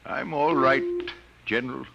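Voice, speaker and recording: An actor playing a stubborn, idealistic professor in a 1950s radio drama, protesting with dry wit. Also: male voice, medium pitch, steady tone, unhurried, vocal fry. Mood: testy, assured. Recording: muffled, noisy old radio recording.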